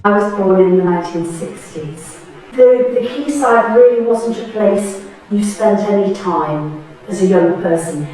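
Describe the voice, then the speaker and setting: A woman of about sixty from Tyneside, thoughtfully recalling her memories in an oral history interview, pausing and restarting as she goes. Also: medium pitch, expressive, dark tone, faint music behind. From Tyneside, England. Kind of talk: reflective personal reminiscence. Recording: very echoey room, distant mic.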